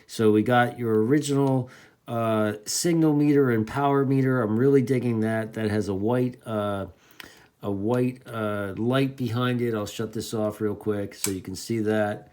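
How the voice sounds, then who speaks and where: A man's voice: medium pitch, fairly even intonation, unhurried, a little mellow. A man giving a casual, enthusiastic hands-on product review in informal hobbyist slang.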